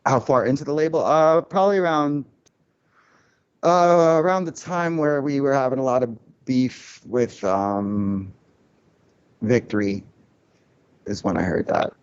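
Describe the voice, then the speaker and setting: A man's voice: medium pitch, measured pace, long pauses, smooth, muffled recording. A man of Cuban heritage, a record label founder, talking candidly in a casual podcast interview, reflective and full of restarts. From Cuban family, grew up in a loud-talking city.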